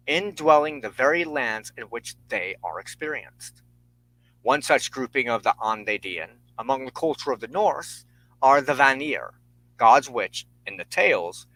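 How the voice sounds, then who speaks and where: A man hosting a solo spirituality show, lecturing on folklore in an earnest, measured way, partly reading from notes. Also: medium pitch, sharp tone, poor audio. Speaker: host of a spirituality talk show.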